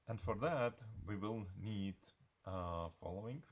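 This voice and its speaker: A man giving an unscripted software screencast tutorial in a halting, hesitant way. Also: lowish voice.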